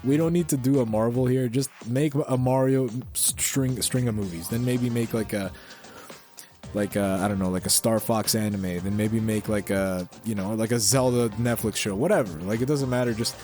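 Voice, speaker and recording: A man's voice: medium pitch, moderate pace, darkish tone, soft background music. A man co-hosting a podcast in casual chat, blunt and opinionated, with frequent swearing. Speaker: podcast co-host. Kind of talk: casual pop culture chat.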